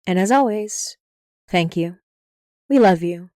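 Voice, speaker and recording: Dry-sounding, lower-pitched woman reading credits. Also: expressive, slow pace, darkish tone, no echo. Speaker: podcast creator and showrunner reading credits. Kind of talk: scripted credits announcement.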